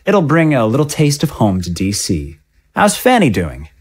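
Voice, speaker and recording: A man plays a polite, slightly flustered politician in an audio drama. His mid-pitched voice jumps up and down freely as he hedges, apologizes and stumbles over words. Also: huge pitch swings, moderate pace, slightly rough. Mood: cordial dictating. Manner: hesitant, stammering, apologetic. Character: flustered, polite male politician with new aide. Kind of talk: audio drama dialogue acting.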